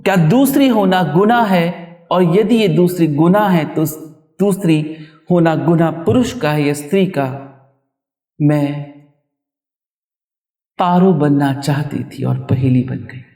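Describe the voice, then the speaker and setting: A man reciting his own poetry in a dramatic, emotive style, with deliberate delivery. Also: fairly high pitch, a little mellow. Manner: emotive, deliberate, with repeated refrains. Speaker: poet reciting own work. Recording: roomy echo, distant microphone.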